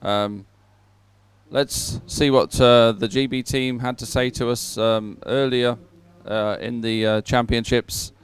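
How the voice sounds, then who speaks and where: A man hosts live sports coverage in a crisp, clear voice at a middling pitch. He speaks off the cuff, chatty and a little halting as he keeps viewers informed. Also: fairly even intonation, no room sound. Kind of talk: unscripted live event presenting.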